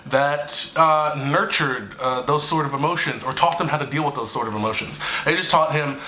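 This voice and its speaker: A young man hosting a casual review, talking in an animated, chatty way, a bit stuffy from allergies. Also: a little high, normal speed, echoey room, rough recording. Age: young adult. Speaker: show host, reviewer. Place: small indoor office room.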